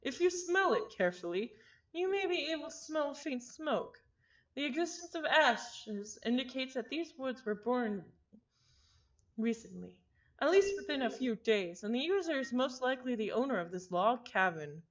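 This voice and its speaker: A woman voicing a calm, observant male traveler in a visual novel, speaking in a measured, deliberate way. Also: high-pitched, lo-fi sound. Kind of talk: visual novel character reading.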